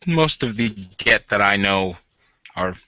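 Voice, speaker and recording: A man playing a stern werewolf warden with a softer, wry side, speaking in a measured, halting way in a tabletop roleplay. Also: lowish voice, expressive, dry recording, dull audio. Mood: guarded.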